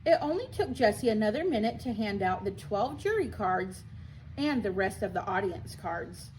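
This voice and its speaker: A woman's voice: mid-range voice, animated, moderate pace, darkish tone, slight background noise, rough recording. A woman reading a children's story aloud expressively, like a school librarian at storytime.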